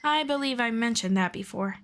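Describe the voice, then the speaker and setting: A woman voicing a patient, gently formal female elf friend in an ASMR roleplay, speaking softly and politely. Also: a little high. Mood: calm, patient. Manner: soft, unhurried, politely formal.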